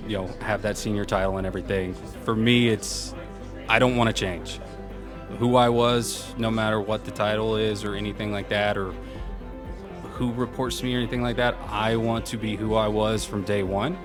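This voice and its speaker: A man with a fairly low, carrying voice speaks as an interview guest. He is informal and upbeat, a little self-effacing, and explains things conversationally. Music plays clearly behind him. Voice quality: loud, carrying voice. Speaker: interview guest, senior program manager. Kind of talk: casual conversational interview answers.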